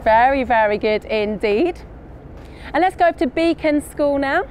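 Upbeat high-voiced woman presenter. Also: animated, unhurried, darkish tone, slight background noise. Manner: upbeat, encouraging, addresses children. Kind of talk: live online field trip hosting. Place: polytunnel on a pumpkin farm.

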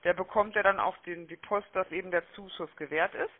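A man with a notably high voice talks as a call-centre agent on a phone sales call. He is chummy and wordy, tacking small questions onto his sentences. The sound is thin and narrow, like a telephone line. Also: steady tone, brisk. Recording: muffled, narrow-band phone-call audio.

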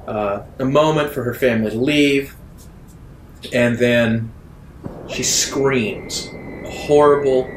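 Slow-paced man narrating in a roomy space. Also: mid-range voice, noisy background. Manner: deliberate, dramatic pauses. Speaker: game master. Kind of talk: narrating a tabletop role-playing game.

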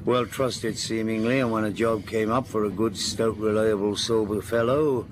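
An actor in a radio play voicing a rough, protective old-time sailor, talking in a rustic, colloquial and placating way. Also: male voice, lowish voice, steady tone, moderate pace, background noise, natural voice. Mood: storytelling. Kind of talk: dramatic radio play acting.

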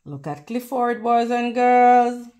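Slow, high-voiced woman reading to children. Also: animated, warm tone. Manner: slow, deliberate, teacherly, pausing to ask questions. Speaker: children's read-aloud host. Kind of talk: reading a picture book aloud to children.

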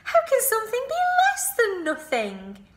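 A woman voicing a lonely young male pig who whines and wails in a high plaintive voice. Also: huge pitch swings, measured pace, echoey room, heavy background noise, heavily acted. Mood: indignant.

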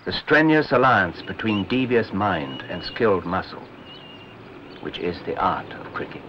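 Low male poetic narrator, widely varied intonation. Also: very animated, measured pace, background noise. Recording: muffled old film soundtrack with music. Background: music.

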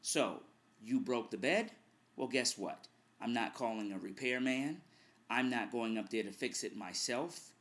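A man's voice: mid-range voice, a little slow, a bit bright. A middle-aged man, a radio host, giving earnest advice straight to a webcam in his living room. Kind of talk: direct-to-camera video blog.

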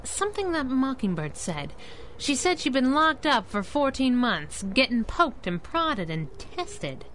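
Plucky masked heroine, 1930s radio style: slangy, cheeky, very varied pitch. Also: female voice, sing-song, measured pace, fairly bright tone, slight background noise, heavily acted, low-quality recording. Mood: breezy, wry. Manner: sassy, slangy. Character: wisecracking plucky female masked crimefighter sidekick.

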